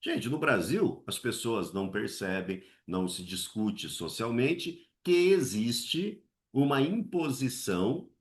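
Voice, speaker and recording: A man's voice: sing-song, takes it slowly, few pauses. A man from Brazil teaching an exam essay lesson, asking himself questions and answering them, with many tag questions. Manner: swings his pitch high to act out voices.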